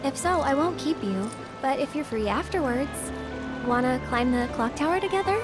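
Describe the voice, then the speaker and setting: High-voiced, perky young woman. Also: lively intonation, normal speed. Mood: playful. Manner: teasing, eager. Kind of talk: scripted video game dialogue. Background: loud music.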